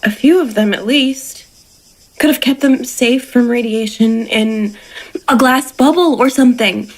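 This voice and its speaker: A woman's voice: fairly high pitch, measured pace, low-quality recording. A woman acting in an audio drama as a naive, tender-hearted young rancher, earnest and childlike. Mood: mournful, earnest.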